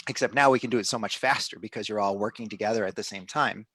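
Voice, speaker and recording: A middle-aged man hosting a live online stream, explaining the project in a relaxed way with many restarts and fillers. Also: lowish voice, quick pace, slightly rough, no room sound. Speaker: host of a live citizen-science stream.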